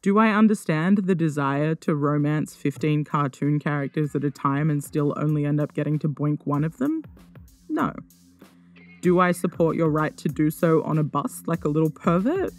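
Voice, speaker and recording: A man's voice: a little high, normal speed, faint music behind. A man hosting a podcast, reading scripted narration in a wry, informal way with conversational asides. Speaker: podcast host.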